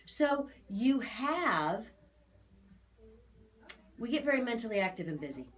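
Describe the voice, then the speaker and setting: A woman's voice: fairly high pitch, sing-song, a little slow. A woman giving a conversational spiritual reading as an aura reader, talking in a chatty, emphatic, assured way.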